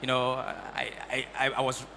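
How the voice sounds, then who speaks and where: A man's voice: mid-range voice, little pitch movement, normal speed, vocal fry, pushed and bright, a little hiss or hum. A man from Cameroon, a startup founder on a panel, speaking hesitantly with many restarts. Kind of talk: panel discussion.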